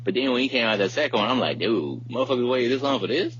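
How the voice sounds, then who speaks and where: A man's voice: mid-range voice, expressive, fast talker, fairly bright tone. A man hosting a podcast, talking casually and bluntly about music in slangy, informal speech. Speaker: podcast host. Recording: muffled podcast audio with light hum.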